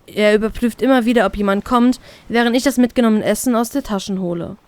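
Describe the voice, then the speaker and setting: A woman reading a first-person fan fiction story as an expressive narrator. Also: fairly high pitch, quick pace, dry recording.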